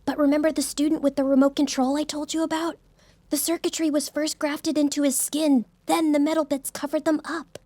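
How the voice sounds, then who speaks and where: High-voiced, wary young student played by a woman. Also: flat delivery, brisk, mellow, dry recording, natural voice. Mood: explaining. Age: young adult. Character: cautious, clever young female student. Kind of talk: audiobook drama acting.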